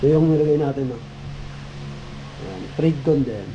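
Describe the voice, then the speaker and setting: A man's voice: mid-range voice, lively intonation, moderate pace. A Filipino man chatting casually as a vlogger. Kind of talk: casual vlog chat. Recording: dull audio with loud vehicle noise.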